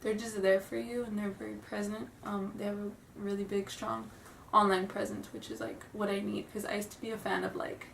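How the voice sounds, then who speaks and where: A woman's voice: mid-range voice, flat delivery, a little fast, gravelly, few pauses, slight background noise. A young woman who sounds stuffy from a cold, chatting nervously and rambling in a casual vlog. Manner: nervous, rambling, apologetic, giggly. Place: room at home. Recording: roomy, distant home camera audio.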